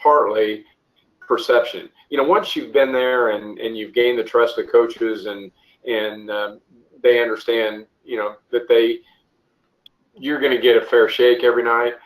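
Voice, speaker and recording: A man giving an interview answer as a coordinator on a conference call, speaking haltingly with frequent restarts. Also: mid-range voice, steady tone, low-quality recording. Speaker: officiating coordinator, interview guest.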